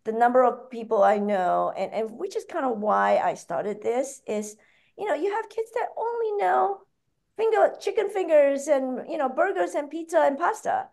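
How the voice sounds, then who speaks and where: A woman's voice: high voice, expressive, normal speed, vocal fry, a little mellow. A woman from Malaysia with a Malaysian accent, speaking conversationally as an interview guest. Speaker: podcast guest, author and food company founder.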